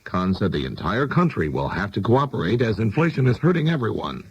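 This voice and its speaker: An American man anchors a scripted radio newscast in a low, husky voice. His intonation moves freely as he reads each item. The sound is dull, vintage AM radio. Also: very animated, fairly quick, no room sound. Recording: dull 1970s AM radio broadcast.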